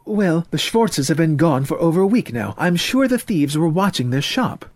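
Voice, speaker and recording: A man's voice: on the high side, very animated, moderate pace, darkish tone, no room sound, voice pushed up. A man voicing an anxious middle-aged mother who frets and scolds her grown son in an audiobook story. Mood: worried. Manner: fretful, scolding, insistent.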